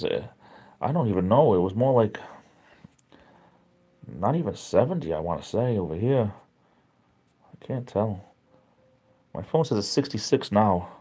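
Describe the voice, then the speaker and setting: Man, middle-aged, tired, laid-back, chatty, easily impressed, very low voice. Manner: relaxed, chatty, easily amazed, laughs often. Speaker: live stream host reading chat. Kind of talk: casual live chat.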